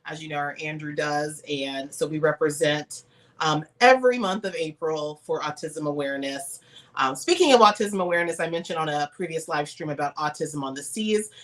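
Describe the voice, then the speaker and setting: A woman's voice: deep voice, moderate pace. A woman hosting a casual livestream chat who sounds congested and nasal from a cold, greeting viewers with frequent "um"s. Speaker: host and travel advisor.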